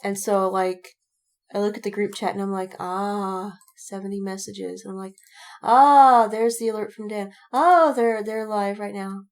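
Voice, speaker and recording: A woman's voice: mid-range voice, measured pace. A woman co-hosting a podcast, chatting casually and retelling stories with mock-dramatic acted-out quotes. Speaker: podcast co-host. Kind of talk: casual fan chat, animated retelling.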